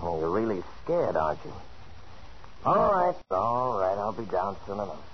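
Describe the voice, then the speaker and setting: An actor playing a folksy, patronizing police officer who brushes off worries, in 1940s radio drama style. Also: male voice, fairly low pitch, very animated, normal speed. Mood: breezy, soothing. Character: breezy, dismissive male police officer soothing a wife. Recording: noisy, muffled old radio recording.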